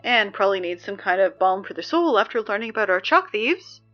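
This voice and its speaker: A woman's voice: mid-range voice, lively intonation, a little fast, muffled recording, soft background music, lightly acted. A woman playing an exasperated teenage girl who reads the school morning announcements, sarcastic and easily flustered. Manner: sarcastic, flustered, prone to outbursts. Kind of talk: comedic audio drama acting.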